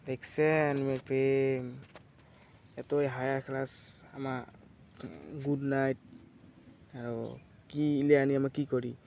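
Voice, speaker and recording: A man with a northern Bengali dialect telling a comic story casually, in halting, repetitive, colloquial phrases. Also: mid-range voice, little pitch movement, darkish tone, slight background noise. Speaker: comedy vlogger. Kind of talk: casual comic storytelling, acting out a couple's phone call.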